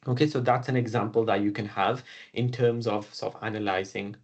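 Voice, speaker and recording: A British man giving a recorded classroom lecture on biology. He talks through each step in order, filling pauses with "okay" and "um". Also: fairly low pitch, steady tone. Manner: explains step by step, often saying "okay" and "um". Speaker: biology teacher.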